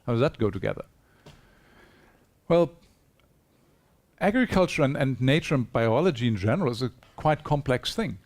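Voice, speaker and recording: A man's voice: mid-range voice, expressive, normal speed, pauses often, a little mellow. A man giving a conference presentation in a hall, earnest and persuasive, putting rhetorical questions to his audience. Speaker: conference speaker.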